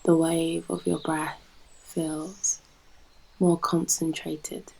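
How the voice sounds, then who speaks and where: A British woman guiding a yoga nidra meditation in a soft, breathy, soothing manner with long pauses. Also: low-pitched, little pitch movement, slight background noise, rough recording. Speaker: yoga nidra guide and host. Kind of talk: guided meditation narration.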